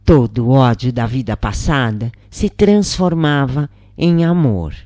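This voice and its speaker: A woman reads a channeled spirit's story in a calm, reverent tone, taking her time over every phrase. Her voice is soft and mellow, recorded close and dry with no room sound. Her pitch sits in the middle for a woman. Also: measured pace, no room sound. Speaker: reader of channeled spirit messages. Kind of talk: slow devotional first-person reading.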